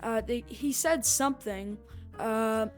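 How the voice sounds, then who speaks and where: A woman's voice: on the high side, takes it slowly, soft background music. A woman hosting a podcast review in a casual commentary style, speaking tentatively. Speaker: podcast host reviewing an audio drama.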